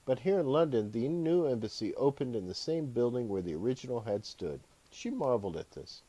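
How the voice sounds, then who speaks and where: A man narrating his own fiction as an audiobook, in a measured storytelling delivery. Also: medium pitch, animated, warm tone, breathy edge, rough recording. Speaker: author reading own book aloud.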